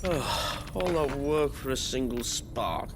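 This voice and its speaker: An actor playing a blustering, cowardly chief of a small work gang in a video game, bossy and theatrical. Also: male voice, medium pitch, expressive, slow pace, background noise. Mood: bossy, grumbling. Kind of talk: video game cutscene acting.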